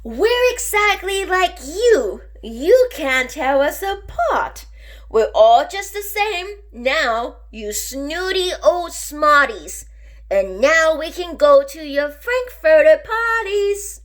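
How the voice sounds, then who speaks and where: A woman reading a storybook, voicing snooty, excitable beach creatures who exclaim indignantly and boastfully. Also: high-pitched, slow pace, full character voice. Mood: triumphant, taunting.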